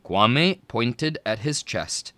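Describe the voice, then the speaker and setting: Lively, unhurried male storybook reader. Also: medium pitch, no echo. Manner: lively, expressive reading with small voice shifts for characters. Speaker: children's book reader. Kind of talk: read-aloud storybook narration.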